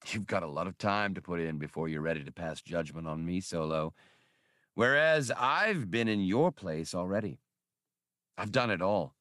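A man's voice: sits low, lively intonation, normal speed, fairly bright tone, dry recording, clean studio sound. A man voicing a suave, mustached veteran gunman in an audiobook, speaking in a polished, coolly amused manner. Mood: amused, worldly.

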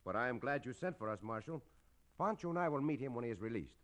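An actor playing a gallant, courteous Mexican cowboy hero with a Mexican accent in an old-time Western radio drama, speaking in measured, formal phrases. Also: male voice, mid-range voice, lively intonation, fairly bright tone, close to an everyday voice. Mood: calm, reassuring.

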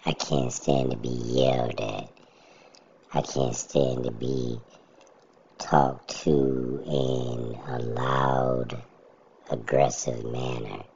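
A man talking casually in a steady, plainspoken monologue, describing his own even, monotone way of speaking. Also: very deep voice, very slow, vocal fry, breathy edge. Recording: dull lo-fi recording.

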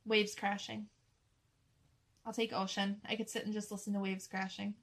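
A woman's voice: mid-range voice, flat delivery, normal speed. A woman co-hosting a podcast, chatting casually and laughing often. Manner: warm, giggly, calls others "girl". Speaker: podcast co-host. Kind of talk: casual chat.

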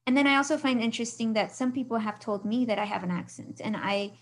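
Chatty woman from Mexico, somewhat high voice. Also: steady tone, fast talker. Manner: chatty, laughs often, trails off. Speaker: guest. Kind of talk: casual interview chat.

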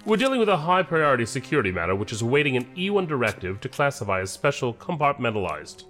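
Man acting smooth, animated federal agent. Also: mid-range voice, quick pace, faint music behind. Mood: courteous, commanding. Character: smooth, courteous, authoritative male federal special agent. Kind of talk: audio drama acting.

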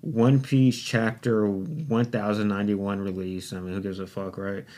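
A man's voice: on the low side, steady tone, a little slow, warm tone. Rambling, foul-mouthed young man podcaster. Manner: rambling, profane, self-deprecating. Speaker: podcast host. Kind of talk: casual solo podcast monologue. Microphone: desktop USB microphone.

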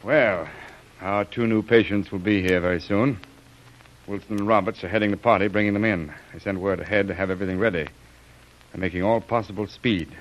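An actor playing a grave, kindly jungle doctor in an old radio drama, measured and a little hesitant. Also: male voice, lowish voice, fairly even intonation, lo-fi sound, lightly acted. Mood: concerned. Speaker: doctor character. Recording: noisy old radio recording.

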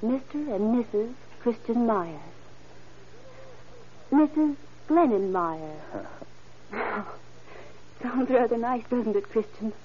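An actress playing a sensible, affectionate young bride-to-be in a sweet, tender 1930s radio style. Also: female voice, a little high, animated, takes it slowly, pauses often. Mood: tender, happy. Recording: noisy, dull old radio recording.

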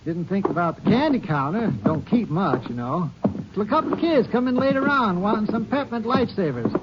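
An actor playing a folksy, chatty small-town American storekeeper who rambles in homespun phrases in a 1950s radio drama. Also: male voice, high-pitched, very animated, fairly quick. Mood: rambling, unbothered. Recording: muffled, noisy old 1950s radio recording.

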